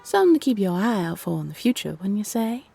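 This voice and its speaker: A woman's voice: medium pitch, very animated, quick pace, creaky, dark tone, husky, faint music behind, heavily acted. A woman voicing a teasing invisible female spirit, a playful, sly voice in the head, in a roleplay audio drama.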